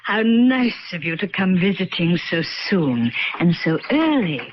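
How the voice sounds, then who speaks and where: A woman's voice: lowish voice, sing-song, unhurried. Genteel, evasive old American woman, muffled radio. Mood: sociable, wistful. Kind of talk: 1970s radio drama acting. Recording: muffled old radio broadcast, clear noise.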